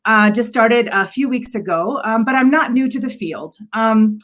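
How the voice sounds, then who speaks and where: High-voiced woman presenting. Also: moderate pace, fairly bright tone. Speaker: adult education director presenting. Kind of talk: virtual slide presentation to an audience. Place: virtual event on video call.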